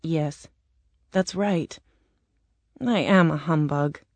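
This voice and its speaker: Woman as a soft, meek little old man. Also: medium pitch, expressive, moderate pace, dry recording, natural voice. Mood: sheepish. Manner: mild, confessional. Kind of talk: storybook character voice.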